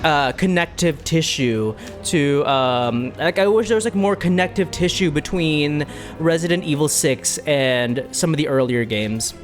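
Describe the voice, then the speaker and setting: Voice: medium pitch, a little slow. A streamer giving casual live commentary on a game playthrough, informal, playful and reactive. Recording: game music clearly behind voice. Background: game music and sound effects.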